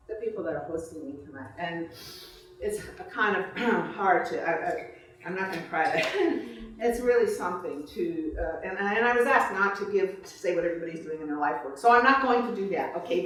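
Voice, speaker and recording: A woman author speaking in an earnest way to guests at a live book event. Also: medium pitch. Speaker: author introducing and reading own book. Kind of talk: informal live talk, then slow literary reading. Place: room at a live book reception. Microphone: distant microphone. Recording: echoey room, far mic, faint noise.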